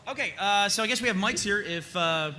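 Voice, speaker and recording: A man's voice: high voice, a little fast. A man hosting a live convention panel, explaining and chatting casually to the audience as a series creator. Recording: live hall, faint crowd and noise.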